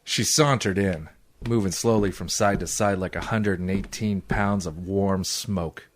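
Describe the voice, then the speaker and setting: A man acting a cynical, wisecracking private eye in an old-time radio detective drama, with a dry deadpan delivery. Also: deep voice, unhurried. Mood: wry, world-weary. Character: hard-boiled, wisecracking male private eye and odd jobber.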